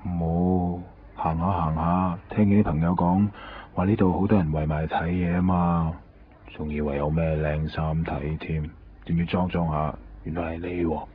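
An actor with a Hong Kong accent playing a cocky, streetwise hustler and loyal friend in a radio drama. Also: male voice, low-pitched, steady tone, normal speed, a little hiss or hum, lightly acted. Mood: breezy. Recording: dull 1990s radio recording, faint music.

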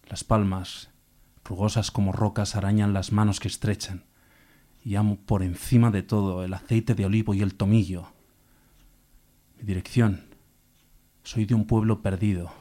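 A man, a stage actor, recites poetry in a declamatory, emphatic manner with deliberate pauses. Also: lowish voice, dark tone.

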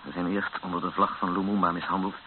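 A man's voice: low voice, flat delivery, fast, lightly acted. An actor in a radio play as a distressed, bitter male captive. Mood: grim. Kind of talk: radio drama acting. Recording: tinny old radio recording, faint noise.

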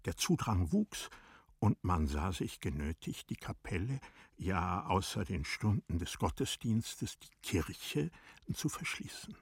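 Male narrator for classic literature: very husky, slow and solemn, measured phrasing. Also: sits low, big pitch swings, dry recording, studio quality.

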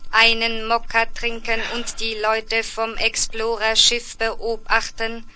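An actress playing an impassive, dutiful reminder machine in a 1970s German radio play, formal and measured. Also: female voice, fairly high pitch, completely flat delivery, pushed and bright. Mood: impassive, polite. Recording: clear background noise and ambience.

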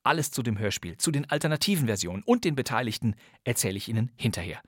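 A man's voice: medium pitch, very animated, fast, slightly rough, dry recording. A man hosting a crime radio-play podcast, presenting from a script in a wry, playful and chatty manner, recorded on a studio mic. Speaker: podcast host.

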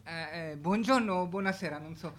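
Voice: mid-range voice, moderate pace, fairly bright tone. A caller in a radio comedy sketch, speaking casually and colloquially, hesitant and deferential.

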